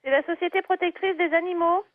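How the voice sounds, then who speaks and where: A woman talking on the telephone as a shelter worker, earnest, halting and reproving. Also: extremely high pitch, steady tone, no echo. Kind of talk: phone conversation. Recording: muffled narrowband telephone line.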